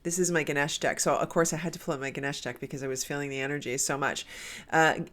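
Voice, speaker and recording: A woman's voice: sits low, fairly even intonation, quick pace, a bit bright. A woman doing a casual spiritual card reading, her nose stuffed up from a cold, talking in a meandering way with many restarts. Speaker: tarot card reader.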